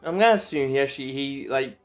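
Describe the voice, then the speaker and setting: A man's voice: mid-range voice, quick pace. A man giving casual, rambling, informal commentary as he streams a game. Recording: faint game music behind.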